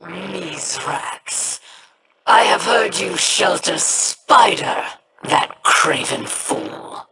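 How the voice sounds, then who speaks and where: An actor voicing a proud, menacing alien pirate warlord in a video game, speaking slowly under loud noise. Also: medium pitch, lively intonation, vocal fry, exaggerated voice.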